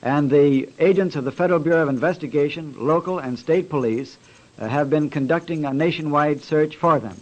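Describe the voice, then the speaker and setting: A man with a slightly raised pitch reads out an official police statement. He sounds stiff and formal, with halting phrases. The recording is dull and old, like vintage radio. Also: darkish tone.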